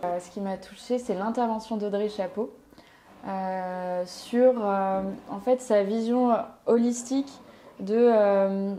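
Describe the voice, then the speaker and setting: A woman who is an independent researcher, speaking in a measured way with many hesitations in an interview. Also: medium pitch, steady tone, warm tone, a little hiss or hum. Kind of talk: vox pop interview.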